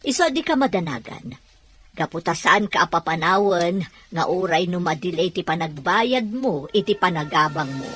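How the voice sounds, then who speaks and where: A woman playing a patient but exasperated landlady in a Filipino radio drama, with a husky voice. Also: on the low side, expressive, moderate pace, a bit bright, faint music behind. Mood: reassuring.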